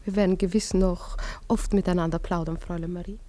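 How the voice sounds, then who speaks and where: A woman voices a courteous wife and mother with a warm, mellow voice. She asks soft, probing questions in a polite, measured way. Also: on the low side, steady tone, lightly acted. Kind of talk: radio play acting.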